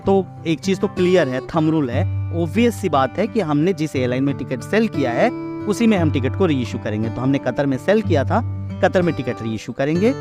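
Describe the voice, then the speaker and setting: A man with an Indian accent giving a step-by-step software lesson in an explanatory way, as an instructor. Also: fairly high pitch, lively intonation, a little fast, over music. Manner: explanatory, step by step, checking understanding. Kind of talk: software tutorial narration mixing Hindi and English.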